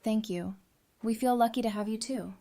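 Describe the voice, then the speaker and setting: A woman playing a warm, antsy young newlywed in an audio drama, talking casually and affectionately. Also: on the high side, moderate pace, close to an everyday voice. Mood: flustered, happy. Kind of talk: fan audio drama acting.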